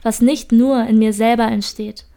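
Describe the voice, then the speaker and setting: A woman's voice: on the high side, steady tone, normal speed, dark tone. A woman in an audio drama giving an earnest, reflective spoken monologue with repeated phrasing. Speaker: performer.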